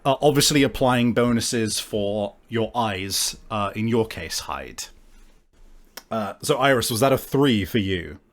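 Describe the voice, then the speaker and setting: A man's voice: lowish voice, lively intonation, a little slow. A man running a tabletop game as game master, narrating casually and searching for his words.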